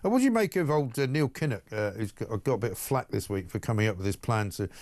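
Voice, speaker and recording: A man's voice: lowish voice, expressive, quick pace, a little mellow. A British man hosting a talk show, interviewing in a chatty, opinionated way with frequent tag questions. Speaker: radio and TV talk show host. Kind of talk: conversational broadcast interviewing.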